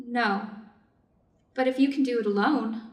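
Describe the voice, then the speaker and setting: A Japanese surgeon's wife, read by a woman in a staged story, proper, anxious and practical. Her voice is a little high and formally phrased. It comes from some distance in an echoey room. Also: unhurried, close to an everyday voice. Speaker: reader's theater performer. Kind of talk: staged reading of a short story.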